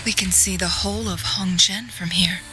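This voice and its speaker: A graceful, composed young woman played by an actress in a video game. She speaks softly and reflectively, with a wistful gentleness and a medium pitch. Music plays clearly behind her. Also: fairly even intonation, normal speed, lightly acted. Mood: gentle, reflective. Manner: composed, soft-spoken, wistful.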